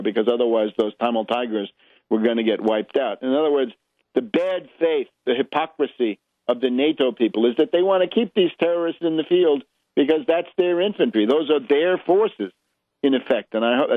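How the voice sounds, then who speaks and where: A man hosting a radio show, giving opinionated political commentary with emphatic, deliberate, halting phrasing and a mocking edge. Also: medium pitch, no echo. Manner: emphatic, rhetorical questions, mocking when quoting. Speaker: radio host. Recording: narrowband, muffled radio broadcast sound.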